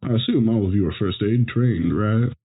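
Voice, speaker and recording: A man's voice: on the low side, moderate pace, slightly rough. A man playing a courteous interviewer in a scripted broadcast drama. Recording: muffled narrowband phone-like audio.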